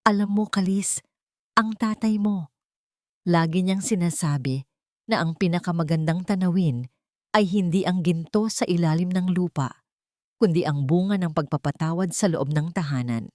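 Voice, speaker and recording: A woman with a Filipino accent voicing a gentle, wise elderly mother in an audio drama, tender and reflective. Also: fairly low pitch, moderate pace, dry recording, clean studio sound, natural voice.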